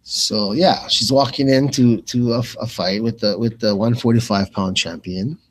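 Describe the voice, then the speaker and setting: A man's voice: medium pitch, moderate pace. A man hosting live fight commentary in a loose, casual, rambling way, often repeating phrases as he thinks aloud. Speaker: radio play-by-play commentator and host.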